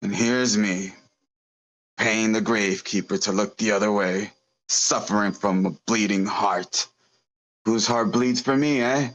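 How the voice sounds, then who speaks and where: Crime boss for a table read, male actor: low voice, gruff, brooding, bitter, threatening, feeling sorry for himself. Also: steady tone, unhurried, fairly bright tone, rough recording. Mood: bitter, self-pitying.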